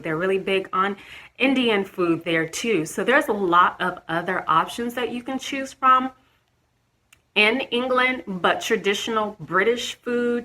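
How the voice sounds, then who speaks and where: A woman's voice: mid-range voice, pushed and bright. A young American woman talking casually to the camera, chatty, with frequent restarts and light laughs. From United States. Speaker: vlogger.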